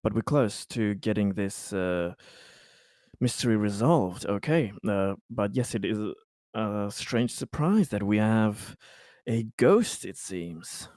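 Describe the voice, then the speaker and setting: A man playing a tabletop roleplaying game, talking casually in a hesitant, measured way. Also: on the low side, lively intonation, a little mellow, no room sound, studio quality. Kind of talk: casual in-character game discussion.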